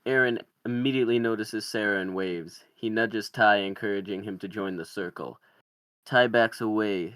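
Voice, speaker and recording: Casting: male script narrator, very low pitch, dry close recording. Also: fairly bright tone, no room sound. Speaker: narrator reading stage directions. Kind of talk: scripted narration at a film table read.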